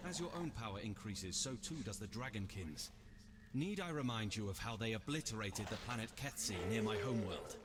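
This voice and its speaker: A man's voice: on the low side, expressive, fairly quick, background noise, close to an everyday voice. A man voicing a grave, wise god in a fantasy video game, formal and admonishing. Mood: grave warning. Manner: formal, warning. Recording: loud music behind the voice.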